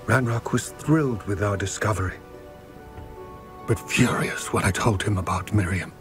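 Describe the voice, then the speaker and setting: An actor playing a weary, solemn goblin recounting his past in a game cutscene, with measured, somber delivery. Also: on the low side, music in the background, lightly acted. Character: weary old male goblin, solemn and grave. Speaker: game character.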